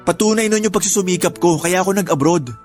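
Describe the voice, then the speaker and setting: A man's voice: sits high, quick pace, faint music behind, natural voice. An actor playing a remorseful, pleading young father in a radio drama, with a Filipino accent. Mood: hurt, defensive. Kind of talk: Tagalog radio drama acting.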